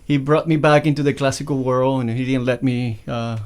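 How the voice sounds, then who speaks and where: A man from Venezuela, a guest violinist, chatting casually in an interview, with many pauses and fillers. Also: mid-range voice, steady tone, darkish tone, clean tone. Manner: halting, many fillers and restarts.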